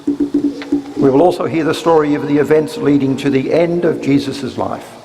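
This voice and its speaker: A man giving a solemn scripted church introduction with measured, deliberate phrasing. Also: a little high, warm tone. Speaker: church reader introducing a service. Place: large church, live reading. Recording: reverberant church hall, faint noise.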